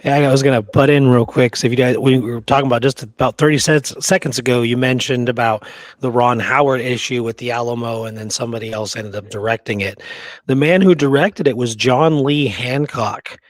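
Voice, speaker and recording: Mid-pitched man chatting, dry close sound. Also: steady tone, a little fast, dry recording. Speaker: co-host. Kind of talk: casual movie chat.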